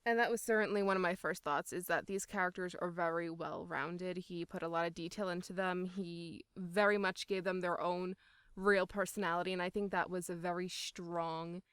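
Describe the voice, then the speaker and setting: Clear, smooth-voiced woman in an interview. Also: fairly low pitch, steady tone, normal speed, fairly bright tone, no room sound, clean studio sound. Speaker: voice actor being interviewed. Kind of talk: casual, enthusiastic interview talk.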